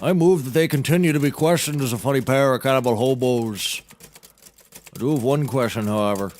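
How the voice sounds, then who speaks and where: A man's voice: medium pitch, fairly quick, darkish tone, natural voice. An actor playing a pompous, blustering nautical handyman turned defense lawyer, orating theatrically in a scripted courtroom comedy.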